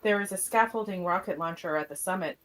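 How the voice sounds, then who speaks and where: A woman's voice: fairly low pitch, fast, heavy background noise. A woman reading scripted stage directions as narrator of an amateur radio play.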